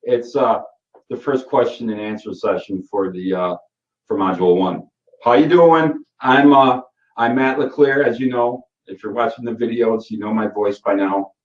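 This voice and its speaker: A middle-aged man with a broad Michigan accent talks in an easygoing, rambling way, circling back and correcting himself as he goes. His voice sits at an ordinary pitch. The sound is roomy and dull, as if he is a few feet from the mic. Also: normal speed. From Michigan, USA. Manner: rambling and folksy, with frequent fillers and self-corrections. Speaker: course host. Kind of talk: casual live Q&A session. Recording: roomy, distant and muffled webinar audio.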